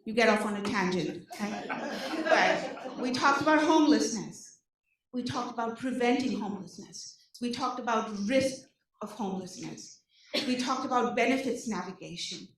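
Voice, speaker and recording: A woman with an Indian accent gives an animated talk to a room of service providers, full of rhetorical questions and frequent "okay?" check-ins. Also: on the high side, normal speed. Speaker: nonprofit program presenter. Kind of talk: energetic public talk to an audience. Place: large meeting room. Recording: echoey hall, speaker far from mic.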